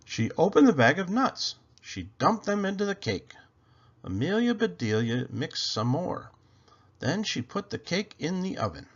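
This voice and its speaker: A man reading a children's picture book aloud as an expressive narrator. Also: sits high, unhurried, lo-fi sound.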